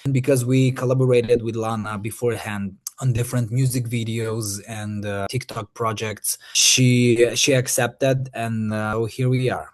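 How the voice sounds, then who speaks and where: A man's voice: mid-range voice, level tone, unhurried, few pauses. A young man from Moldova, answering interview questions in English with many fillers and restarts. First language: Romanian. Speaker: Eurovision artist.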